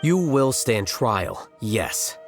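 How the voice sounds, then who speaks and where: An actor playing an aloof, rational young scholar in a video game, blunt and detached with dry condescension. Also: male voice, mid-range voice, big pitch swings, very slow, faint music behind. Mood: coolly critical.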